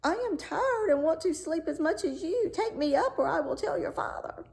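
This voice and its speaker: A woman's voice: very high-pitched, lively intonation, normal speed, vocal fry, a little mellow, pitched above the performer's own voice, full character voice. A woman voicing a small, pushy, demanding male frog in a storybook, bossy and insistent as it chants its demands. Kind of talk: storybook read-aloud character voice.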